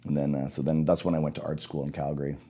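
A man's voice: a bass voice, little pitch movement, racing, warm tone. A man in his forties who grew up in Canada, chatting casually as a guest, rambling with many restarts and fillers. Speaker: guest photographer. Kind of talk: casual podcast conversation.

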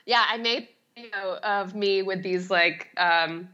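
A woman's voice: mid-range voice, a little slow, pushed and bright, highs cut off. A middle-aged woman talking casually as an expert guest in an interview, with a chatty, emphatic, self-deprecating way of explaining. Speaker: guest, home organizing expert.

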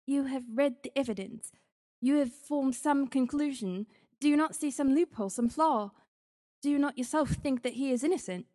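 A man's voice: extremely high pitch, normal speed, mellow, in a large hall, pitched above the performer's own voice. A man reading an audiobook, voicing an eager young woman who pleads breathlessly and insistently. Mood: urgent. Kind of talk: audiobook character voice.

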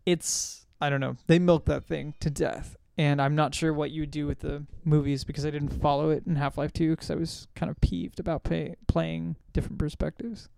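Clear, higher-pitched man chatting about pop culture. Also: level tone, normal speed, hardly any pauses, a little mellow, dry recording. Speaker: podcast co-host. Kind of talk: casual discussion of video games and films.

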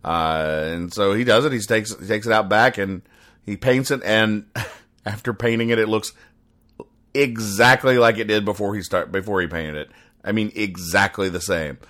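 A man with a deep, low-pitched voice hosts a comedy commentary show. He speaks in a deadpan, pedantic manner, haltingly spelling out every point in needless detail. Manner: deadpan, pedantic, over-explaining. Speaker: podcast host.

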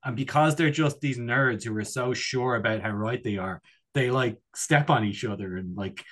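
A man's voice: mid-range voice, moderate pace. A man chatting casually as a comedy podcast co-host, rambling and digressing with a jokey manner.

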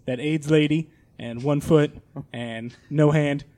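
A man's voice: a little high, steady tone, slow, darkish tone. A man playing Chesterfield, an exasperated, foul-mouthed household servant and sulky son, in improvised comedy. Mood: grave, annoyed. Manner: exasperated, sweary, indignant. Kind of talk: improvised comedy podcast acting.

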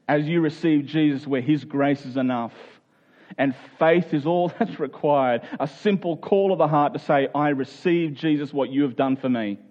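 A man's voice: medium pitch, a little mellow, muffled recording. A man preaching a sermon in an earnest, exhorting manner, hammering short phrases home through repetition. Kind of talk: sermon with scripture reading and prayer.

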